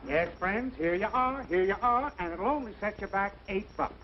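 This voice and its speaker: A man's voice: high voice, lively intonation, moderate pace. A man acting a slick hustler with glib sales patter in a 1940s radio comedy. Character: slick, fast-patter hustler renting out a bed. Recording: muffled old radio recording, faint noise.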